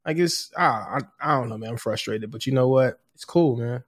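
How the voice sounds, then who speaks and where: A man co-hosting a casual sports podcast in a laid-back, halting way, peppering his talk with conversational tags. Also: mid-range voice. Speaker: sports and culture podcast co-host.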